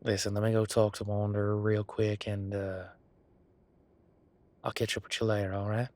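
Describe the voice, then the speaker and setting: A man playing an affectionate, easygoing werewolf bodyguard in an intimate audio roleplay, talking in a casual, folksy, halting way. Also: fairly low pitch, monotone, darkish tone, natural voice. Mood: flirty, teasing.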